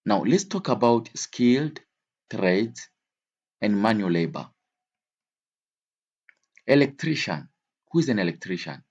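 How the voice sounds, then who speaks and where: A man's voice: on the low side, very animated, a little slow, pauses often, darkish tone, slightly rough, highs cut off. A man teaching an English vocabulary lesson in a didactic way, repeating key words and switching between languages.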